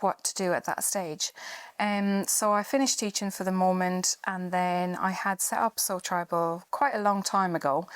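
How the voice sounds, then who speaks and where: A woman's voice: fairly low pitch, dry recording. A woman in her thirties from Ireland with an Irish accent, chatting as a podcast guest about teaching sewing, with many ums and restarts. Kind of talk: casual podcast chat.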